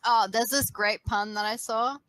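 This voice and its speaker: A woman's voice: on the high side, steady tone, moderate pace, a bit bright, no room sound. A woman joking around in casual chat.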